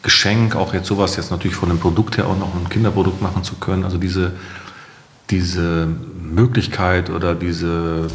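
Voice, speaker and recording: A middle-aged man talks through his answers as an interview guest. His voice sits fairly low, and the room adds a clear echo. The style is conversational. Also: level tone, moderate pace, a little hiss or hum. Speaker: guest, company co-founder. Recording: roomy echo.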